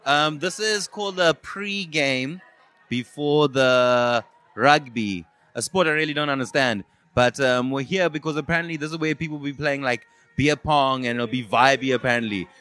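A man's voice: mid-range voice, normal speed, pushed and bright. A South African man hosting a podcast, chatting casually and teasingly with a guest, often repeating words and answers. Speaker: podcast host. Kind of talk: casual vox-pop interview. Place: pre-party at a sports-match event. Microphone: handheld or table podcast microphone with headphones.

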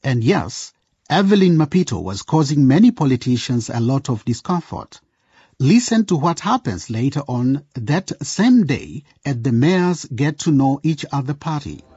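A man presents a radio drama as a storyteller. His voice is mellow and husky with lively intonation, recorded dry and addressed straight to the listener. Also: medium pitch, moderate pace, no room sound, dull audio. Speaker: narrator, presenter. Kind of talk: scripted radio drama narration.

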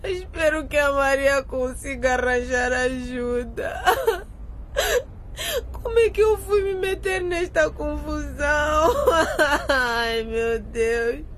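A captive schoolgirl in a radio drama, pleading and scared. Her voice is high and tearful, dragging slowly, with faint ambient noise behind it. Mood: despairing. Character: frightened, captive teenage schoolgirl.

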